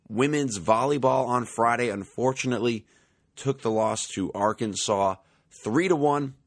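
A young man, hosting a sports podcast in a casual, chatty monologue, his voice slightly raspy. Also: lowish voice, no room sound, clean studio sound. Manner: chatty, conversational, many fillers. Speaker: podcast host.

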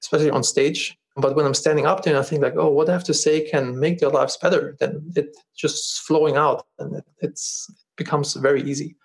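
A man with a German accent talks in an earnest, conversational way, circling back over his words as he finds them. His voice sits a touch high for a man and carries a noticeable gravelly fry. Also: fairly even intonation, brisk, hardly any pauses. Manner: earnest, repeats words as he restarts. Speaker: podcast guest, business founder. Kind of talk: casual interview talk.

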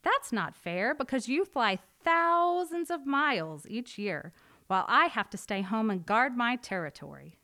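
A woman's voice: on the high side, sing-song, unhurried, bright, forceful tone, voice pushed up, exaggerated voice. A woman voicing a small, indignant robin in a storybook tale, in a complaining tone.

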